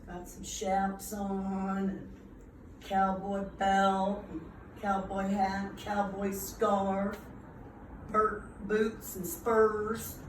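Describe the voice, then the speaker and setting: A woman reading a picture book to young children in a theatrical, emphatic way, pausing to engage them with asides. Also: mid-range voice, level tone. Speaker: preschool owner reading at a children's storytime. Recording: roomy, distant mic, faint noise.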